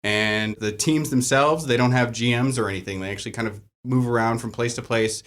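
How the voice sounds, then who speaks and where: A man's voice: medium pitch, fairly quick, a bit bright. Man casually explaining how games work. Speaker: tabletop and LARP game master, panel guest. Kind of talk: casual explanatory group chat.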